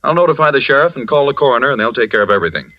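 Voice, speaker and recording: An actor as a pompous wealthy host. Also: male voice, medium pitch, expressive, very fast, lightly acted. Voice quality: pompous, overbearing. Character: pompous, smooth wealthy male party host. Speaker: radio drama actor. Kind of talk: 1940s radio acting. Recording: lo-fi vintage radio recording.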